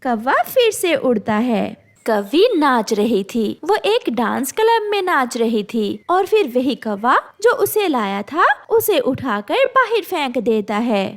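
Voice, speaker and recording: A woman's voice: sits high, very animated, slow pace, few pauses. A woman narrating a scripted children's cartoon tale as its storyteller.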